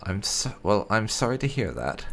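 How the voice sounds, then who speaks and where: A man hosting a gaming video, giving casual, wry playthrough commentary with some light roleplay in a gravelly voice. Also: fairly low pitch, very animated, normal speed, fairly bright tone, slight background noise. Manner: wry, sarcastic. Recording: game music behind. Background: game music.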